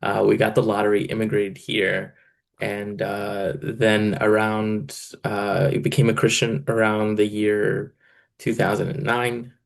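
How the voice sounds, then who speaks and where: A man's voice: lowish voice, unhurried, gravelly. A man from Egypt, in his thirties, a church financial controller and part-time pastor, answering interview questions casually with many fillers. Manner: relaxed, many fillers, laughs between phrases.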